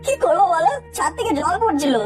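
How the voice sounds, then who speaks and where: A cheeky cartoon child with a plaintive whine. The voice is extremely high, quick and swooping, with loud music behind it. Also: female voice, animated, far from the mic. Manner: whining, protesting. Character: whiny, sleepy cheeky young child. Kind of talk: exaggerated Bengali cartoon dialogue.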